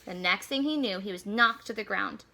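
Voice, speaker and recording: A woman reading a children's picture book aloud as an expressive, animated storyteller. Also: mid-range voice, normal speed, creaky. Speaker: school staff reader.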